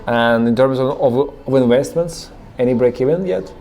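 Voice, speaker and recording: Brief: male interviewer, warm, dark timbre, short direct questions. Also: mid-range voice, moderate pace.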